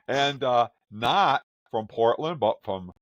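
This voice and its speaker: A man's voice: medium pitch, expressive, slow pace, no room sound, lo-fi sound. A man hosting a casual podcast, introducing his co-hosts with an upbeat, jokey manner. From Vermont. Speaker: podcast host.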